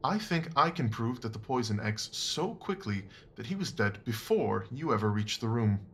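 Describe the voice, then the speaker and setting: A man playing a composed, shrewd gentleman detective in a Victorian audio drama, speaking in a measured, formal, authoritative way. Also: medium pitch, big pitch swings, slight background noise. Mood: firm, reassuring.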